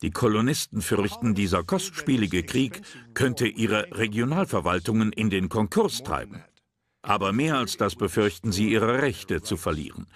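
A man's voice: on the low side, lively intonation, moderate pace. A man reading scripted narration for a history documentary. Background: background music.

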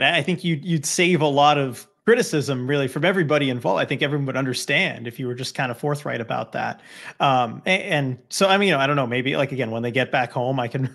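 A man's voice: a little high, creaky, fairly bright tone. A man co-hosting a sports show, discussing things in a casual, hedging way. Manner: hedging, conversational. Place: indoor room, video call.

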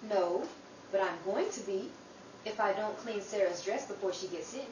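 A woman's voice: mid-range voice, moderate pace, lightly acted. A woman playing an earnest, grateful youngest sister in an old time radio drama about the Depression. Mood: anxious, fond. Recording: distant, echoey room with clear background noise.

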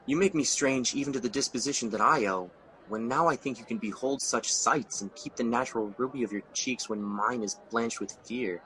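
A man's voice: mid-range voice, brisk, bright, forceful tone, close to an everyday voice, rough recording. A man playing Macbeth, a fearful, haunted king, in a radio drama with an intense, shaken delivery.

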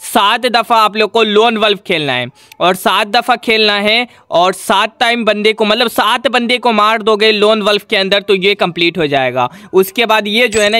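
A man's voice: sits high, pushed and bright, clean tone, no room sound. A man hosting a gaming tutorial in voice-over, addressing viewers directly in an instructional, repetitive way. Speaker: gaming channel host.

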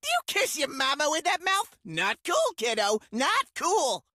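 A woman's voice: sits high, very animated, unhurried, sharp tone, dry recording, clean studio sound, heavily acted. A woman voicing a small, cocky, greedy male creature trader for a video game, with a brash, taunting, theatrical manner. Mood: cocky scolding. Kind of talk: video game character voice lines.

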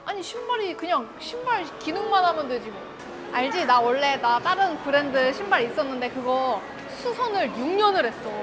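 A woman's voice: high voice, sing-song, normal speed, poor audio. A woman chatting casually with her partner in an everyday conversational way. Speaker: vlogger's wife. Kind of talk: casual couple chat. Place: outlet shoe store. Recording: background music and store crowd noise. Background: music, shoppers' chatter.

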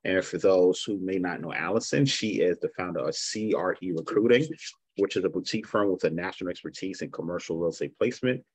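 A man hosting an online meetup, introducing the guest speaker in a halting way and restarting his phrases. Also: low voice, breathy edge, no room sound, rough recording. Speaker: meetup host. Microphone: video call webcam.

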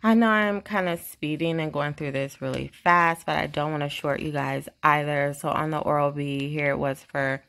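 Low, gravelly-voiced woman rattling off prices. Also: fairly even intonation, moderate pace, few pauses, a bit bright. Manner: chatty, rattles off prices and totals. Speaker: couponing vlogger. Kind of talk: casual shopping haul walkthrough.

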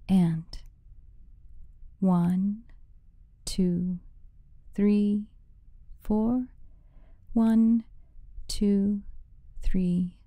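A woman leading a guided meditation as the guide, giving soothing, measured instructions with phrases that soften into breathiness. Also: mid-range voice, no echo.

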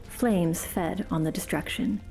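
A woman reads a fantasy story aloud as a podcast host, dropping to a hush when the tension rises. Her voice is dark and mellow, and faint music plays behind her. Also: on the low side, steady tone, measured pace, rough recording. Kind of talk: dramatic story reading.

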